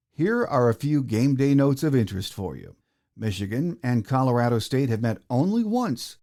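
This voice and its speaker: Casting: American male sports podcast host, relaxed dark tone, mid-range pitch, team preview delivery. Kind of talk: sports podcast hosting, game preview notes.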